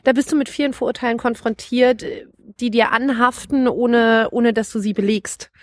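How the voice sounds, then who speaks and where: A woman's voice: fairly high pitch, fairly even intonation, moderate pace, dry recording, studio quality. A young woman from eastern Germany in a casual podcast discussion, earnest and a little hesitant. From Dresden area, eastern Germany. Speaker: podcast co-host.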